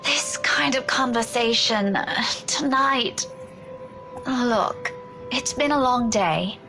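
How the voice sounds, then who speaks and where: A woman's voice: on the high side, slow, music in the background, low-quality recording. A woman playing a tired, unwell young student in a visual novel, reassuring but evasive, with a hesitant delivery. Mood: weary, dismissive.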